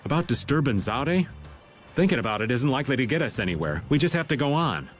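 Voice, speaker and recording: A man playing a teasing, lively-voiced roguish flirt. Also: mid-range voice, fast, faint music behind, close to an everyday voice. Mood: musing. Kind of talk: English video game voice acting.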